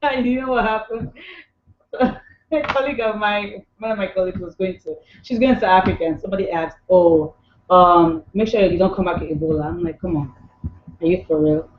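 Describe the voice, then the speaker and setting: An upbeat woman from Nigeria, co-hosting a casual conversation and moving it along. Her voice is mid-pitched and sounds dull and lo-fi. The room around her is echoey. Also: brisk, a little hiss or hum. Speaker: co-host. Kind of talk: casual chat.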